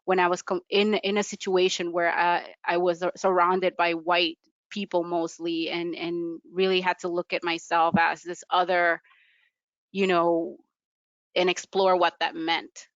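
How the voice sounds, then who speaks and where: A woman with a smooth, clear, resonant voice, talking earnestly and reflectively in a mid-range pitch. The sound is dry and close but dulled by lo-fi video call audio. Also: level tone, a little slow, dry recording. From United States. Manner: earnest, reflective, loose with fillers. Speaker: panelist. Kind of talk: personal account on a panel.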